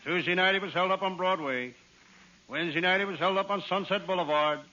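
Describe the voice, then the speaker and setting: An actor playing a wisecracking hard-boiled private eye, delivering comic lines deadpan in 1940s radio style. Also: male voice, high-pitched, a little fast. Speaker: comic detective narrator. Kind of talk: 1940s radio comedy acting. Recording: dull old radio transcription with hiss.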